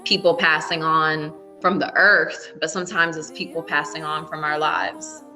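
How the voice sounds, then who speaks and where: A woman from Brooklyn co-hosting a casual chat podcast from home, talking in a reflective, measured way. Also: sits low, flat delivery, bright, forceful tone, faint music behind. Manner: reflective, measured, uses "you know" often. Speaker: podcast co-host.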